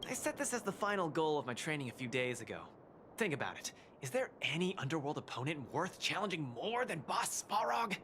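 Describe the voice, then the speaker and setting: Animated, cocky young fighter. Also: male voice, fairly high pitch, fairly quick, a little hiss or hum, heavily acted. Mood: earnest, determined. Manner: boastful, excitable, easygoing. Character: cocky, upbeat young male underworld cage fighter. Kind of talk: video game voice acting. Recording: loud game music behind. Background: loud game music.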